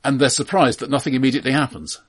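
A man co-hosting a film podcast, speaking casually in a dry, analytical, halting way, often repeating words. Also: medium pitch, steady tone, slightly rough.

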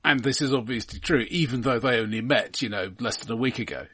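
A man's voice: mid-range voice, quick pace, a bit bright, husky. A man co-hosting a film podcast, chatting casually with dry, wry humor. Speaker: podcast co-host.

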